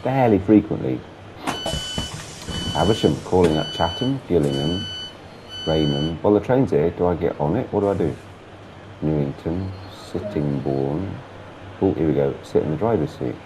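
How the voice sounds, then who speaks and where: A man chatting casually while driving a train in a simulator game, gravelly with a sore throat and blocked nose, muttering through the controls. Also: extremely low pitch, animated, moderate pace, pauses often, background noise. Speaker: gameplay streamer. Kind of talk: casual train sim commentary.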